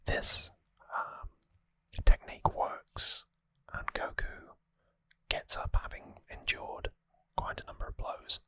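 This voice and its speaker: Slow, crisp ASMR whisper, very close. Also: no room sound. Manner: hushed, unhurried, explanatory. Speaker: ASMR host. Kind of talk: whispered ASMR trivia recap. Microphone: close microphone.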